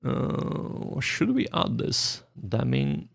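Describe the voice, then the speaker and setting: A man's voice: on the low side, huge pitch swings, very slow, vocal fry, breathy edge, no echo, clean studio sound. A man doing casual live study-stream commentary, thinking aloud and translating hesitantly. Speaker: streamer, language learner.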